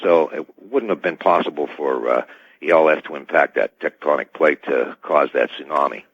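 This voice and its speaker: Over a dull, band-limited radio line, a man with a very deep voice speaks as an expert guest. His answers are formal and wordy, with an official ring. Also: normal speed, breathy edge, no room sound.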